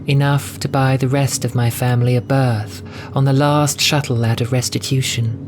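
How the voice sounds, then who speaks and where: A man voicing a gentle young female cat nun in an audio drama, soft and wistful, with earnest storytelling. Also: mid-range voice, little pitch movement, normal speed, voice pushed up, lightly acted. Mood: rueful, bitter. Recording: music bed under voice. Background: music.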